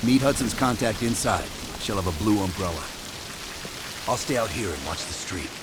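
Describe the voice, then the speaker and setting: Over clear rain-like hiss, an actor plays a male covert operative in a game. His voice is low, and he briefs in a terse, businesslike way. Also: expressive, normal speed, lightly acted. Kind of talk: video game cutscene acting.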